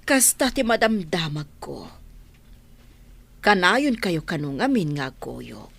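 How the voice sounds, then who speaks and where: A woman's voice: fairly low pitch, very animated, slow pace, gravelly, fairly bright tone, dry recording, full character voice. A woman playing a stern, suspicious and outspoken older mother in Filipino-accented radio drama.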